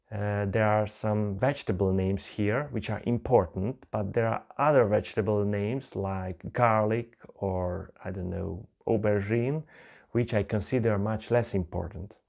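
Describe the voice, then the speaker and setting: Hungarian man, middle-aged, unhurried careful delivery, webcam presentation. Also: on the low side, creaky, a little mellow. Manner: careful, measured non-native phrasing. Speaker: conference presenter. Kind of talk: slide presentation talk.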